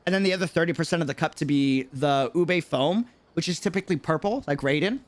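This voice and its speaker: A man's voice: fairly high pitch, fast talker. A man chatting casually and musingly on a game livestream in slangy speech, with game music behind him. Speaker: game streamer.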